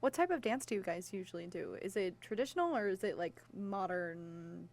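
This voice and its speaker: A young woman with a mid-range voice hosts student radio. She interviews guests in a casual, informal way and comes across as excitable. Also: medium pitch, normal speed. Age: young adult.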